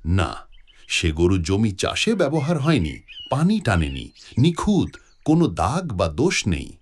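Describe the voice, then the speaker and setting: A man's voice: lowish voice, wildly swooping pitch, measured pace, breathy edge, full character voice. A man voicing a solemn prophet who gives firm, declarative commands in a cartoon religious story. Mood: solemn instruction. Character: solemn prophet relaying divine commands firmly.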